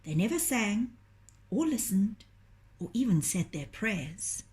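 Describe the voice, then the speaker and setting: A woman reading a children's story aloud in a gentle, deliberate, expressive storytelling manner. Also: mid-range voice. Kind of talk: children's storytelling, scripted narration.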